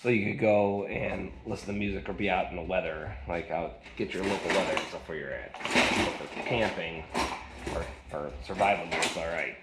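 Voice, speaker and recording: A man's voice: low-pitched, normal speed. A man giving a casual hands-on gadget review in a rambling, colloquial way, speaking indoors. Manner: rambling, colloquial, folksy slang. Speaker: product reviewer. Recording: echoey room with loud noise.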